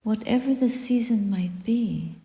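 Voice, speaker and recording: A woman guiding a meditation as a Buddhist teacher, speaking softly and deliberately in short phrases with long pauses and breathy endings. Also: fairly high pitch. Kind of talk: guided meditation, spoken dharma reflection. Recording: echoey room with long reverb.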